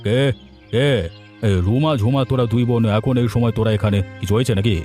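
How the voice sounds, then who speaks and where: A man playing a kindly, encouraging village gentleman in a cartoon, hearty and fond of repeating words. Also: mid-range voice, fairly even intonation, brisk, soft background music, natural voice. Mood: concerned. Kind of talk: Bengali cartoon voice acting.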